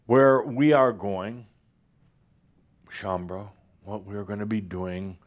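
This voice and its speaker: A man's voice: fairly low pitch, takes it slowly. A middle-aged man co-hosting a live studio show in casual chat, joking and laughing often. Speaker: co-host.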